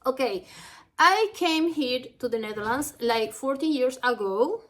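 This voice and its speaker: A woman from Ecuador, a native Spanish speaker with a Latin American Spanish accent, giving an instructional lesson as a language teacher in a halting, careful way, phrase by phrase. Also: high voice, animated, a bit bright.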